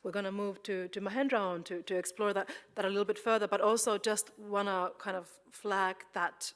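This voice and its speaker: A woman's voice: medium pitch, fairly even intonation, fairly quick. A woman moderating a panel, speaking loosely with fillers and searching phrases. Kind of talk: panel discussion. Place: large conference hall. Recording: reverberant conference hall.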